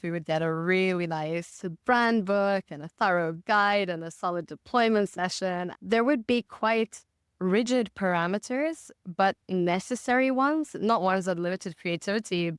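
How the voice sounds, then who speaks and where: Clear-voiced woman, fairly low, measured. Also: dry recording, studio quality. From Botswana. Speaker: podcast guest, marketing director. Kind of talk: reflective interview answers.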